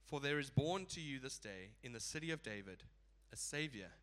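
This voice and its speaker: Male preacher with a head cold, nasal and bright, chatty with laughing asides. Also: medium pitch, expressive, normal speed. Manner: casual, chuckling asides. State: stuffy blocked nose. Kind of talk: sermon.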